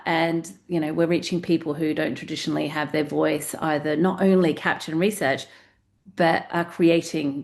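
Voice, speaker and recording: A woman with a very low speaking voice, talking on a webinar panel over a video call. She leads a community research project and explains it in a measured way, pausing on fillers as she goes. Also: creaky.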